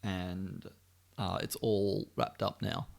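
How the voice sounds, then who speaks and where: A man's voice: deep voice, little pitch movement, slow, vocal fry. A man co-hosting a podcast in casual chat, with a sweary, wry and joking manner. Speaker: podcast co-host.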